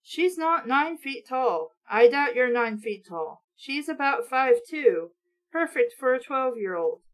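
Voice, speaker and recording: A woman doing sarcastic read-along commentary on a book, with a blunt, mocking, sweary way of talking. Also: sits high. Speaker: book reviewer reading aloud with commentary.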